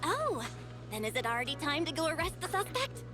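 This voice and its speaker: A woman's voice: high voice, big pitch swings, brisk, music in the background. A woman voicing an earnest, caring young woman who works as an amateur investigator in a video game. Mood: bright, eager.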